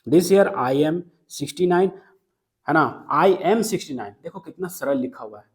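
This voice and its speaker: A man's voice: a little high, animated, slow pace. A man teaching a poem line by line, switching between Hindi and English and often checking that students follow. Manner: mixes Hindi and English, checks understanding, repeats key lines. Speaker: literature teacher. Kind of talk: classroom lecture explaining a poem line by line.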